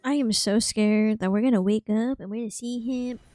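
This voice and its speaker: A woman's voice: on the high side, steady tone, fairly quick, warm tone, no echo, soft background music. A woman streamer giving casual, chatty reaction commentary while she plays a game.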